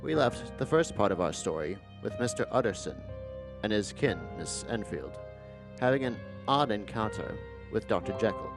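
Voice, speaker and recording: Low-voiced young man over music. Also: very animated, normal speed. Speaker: scriptwriter giving an introduction. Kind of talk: scripted introductory address. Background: guitar music.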